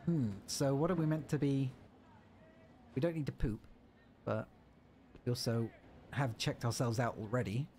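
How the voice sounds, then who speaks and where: A man's voice: mid-range voice, steady tone, normal speed, long pauses, a little mellow, a little hiss or hum. A man streaming a video game, joking and reading the on-screen text aloud as he plays. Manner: playful, jokey, reads game text aloud. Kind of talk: let's-play commentary.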